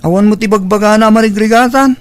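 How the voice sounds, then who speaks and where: A man's voice: high-pitched, steady tone, fast talker, clean tone, lightly acted. A man playing a sullen, demanding young son in a radio drama, with a Filipino accent and a curt, disrespectful manner. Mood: defiant. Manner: petulant, curt. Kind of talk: Ilocano radio drama acting.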